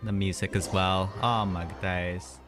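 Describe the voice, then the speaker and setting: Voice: sits low, fairly even intonation, a little slow, music in the background. A game streamer giving casual, chatty live commentary with sympathetic reactions.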